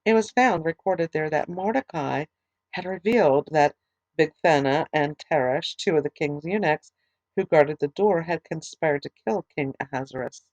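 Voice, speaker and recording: A middle-aged woman teaching a Bible study, reading scripture aloud in a plain, steady way, her voice tired and fading late at night. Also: low voice, dry recording, lo-fi sound. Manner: plain, steady reading with small halting restarts.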